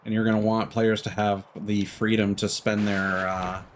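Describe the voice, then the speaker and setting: A man with a fairly low voice talks through a game on a live stream. He rambles casually and drops slangy asides, with clear noise and clicks behind him. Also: little pitch movement, moderate pace. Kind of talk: casual live gameplay commentary.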